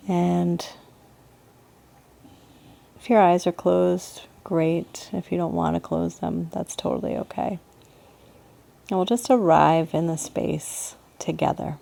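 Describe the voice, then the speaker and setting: A woman guides a meditation in a very dark, relaxed voice. Her delivery is very slow and nearly flat in melody, with gentle pauses between short phrases. She sounds like a soothing yoga teacher. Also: fairly low pitch, creaky.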